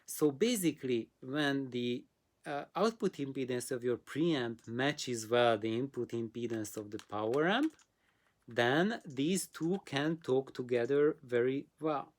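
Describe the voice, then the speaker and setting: A host casually explaining a technical subject, halting and pausing often, putting things in simple hands-on terms. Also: medium pitch. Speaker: host answering audio questions.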